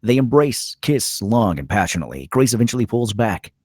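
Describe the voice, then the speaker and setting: Deep, husky male narrator. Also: big pitch swings, brisk, darkish tone, no room sound, clean studio sound. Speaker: narrator reading stage directions. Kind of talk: scripted dramatic narration.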